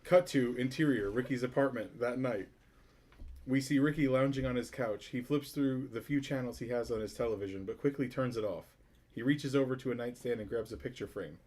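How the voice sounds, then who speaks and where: Man narrating a script at medium pitch. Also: brisk, darkish tone. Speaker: stage directions narrator. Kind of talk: scripted narration at a table read.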